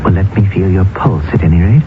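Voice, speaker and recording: A man's voice: low-pitched, brisk, close to an everyday voice. A man acting in a radio drama as a principled, defiant doctor new to India, speaking firmly and insistently. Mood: calm resolve. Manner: firm, insistent, declamatory. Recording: noisy, tinny old radio broadcast.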